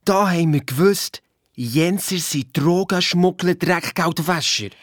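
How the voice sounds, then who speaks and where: A woman's voice: on the low side, unhurried, dry recording, clean studio sound. A woman playing a cheeky, blunt young boy detective with a Swiss German accent, chiming in casually in a children's radio play. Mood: casual, wry.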